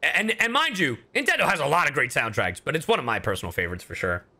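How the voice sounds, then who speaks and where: A man's voice: on the high side, fast talker. A man hosting a livestream, chatting casually and informally with his viewers while playing a game. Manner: animated, informal, profane. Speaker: streamer, host.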